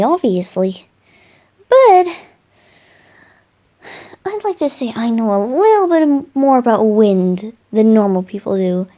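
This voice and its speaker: Slow, soft boyish wind god voiced by a woman. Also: on the high side. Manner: soft, musing, hesitant, affectionate. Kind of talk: roleplay ASMR, intimate one-sided dialogue to a listener.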